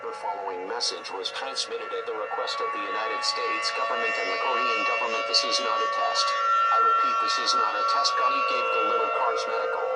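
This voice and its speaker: A man reading a scripted emergency broadcast announcement as an alert announcer. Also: on the low side. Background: loud music.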